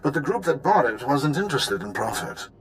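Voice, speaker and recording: A man's voice: mid-range voice, sing-song, brisk. An actor playing a pompous, wealthy and shady businessman who is imperious and easily panicked, in a video game. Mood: grudging confession. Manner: imperious, blustering.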